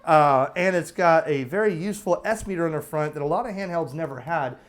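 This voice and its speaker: An American man with a slightly higher-pitched voice talks through a product review in a casual, unscripted way. He is chatty and explanatory, pausing to check that the listener is following along.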